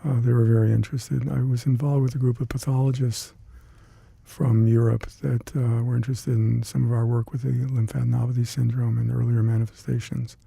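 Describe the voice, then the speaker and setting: Older man, gravelly and dark, halting recall. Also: medium pitch, level tone, no echo. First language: Hungarian. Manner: halting, reflective, many fillers and restarts. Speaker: interviewee, retired scientist. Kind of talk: oral history interview.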